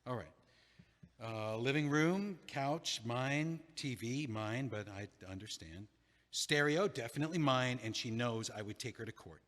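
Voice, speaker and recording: A man's voice: mid-range voice, lively intonation, unhurried, fairly bright tone, in a large hall. An actor playing a hesitant, self-deprecating man who rambles as he pictures his old home, in an audio play. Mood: rambling. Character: nervous, wry man locked out of his home.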